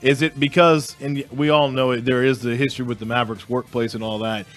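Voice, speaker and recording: A man's voice: mid-range voice, level tone, brisk, faint music behind. A man co-hosting a sports talk show in a studio, playful and asking pointed questions. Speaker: co-host. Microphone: desk microphone on a boom.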